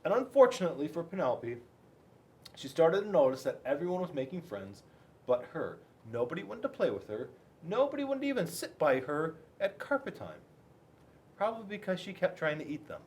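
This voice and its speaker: A man reading a storybook aloud to children in an expressive, chatty way, addressing his young listeners directly. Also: fairly high pitch, brisk. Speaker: police school resource officer reading to children.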